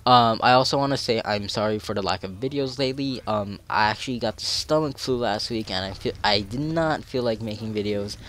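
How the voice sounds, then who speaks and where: A man's voice: lowish voice, a little fast, hardly any pauses, fairly bright tone. A young man with a nasal, stuffy voice from a cold, explaining game mechanics in a tutorial voice-over and rambling in self-deprecating asides. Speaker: game guide creator.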